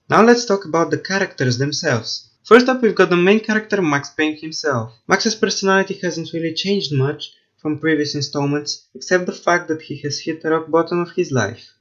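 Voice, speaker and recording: Guy doing a game review voiceover, kinda high voice, informal and opinionated, bit dull lofi mic. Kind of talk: scripted video review narration with casual wording.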